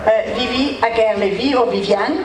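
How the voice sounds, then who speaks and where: A woman's voice: a little high, moderate pace, background noise. A woman from Egypt, introducing herself hesitantly and with fillers. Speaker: congress participant. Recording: echoey hall audio from far off.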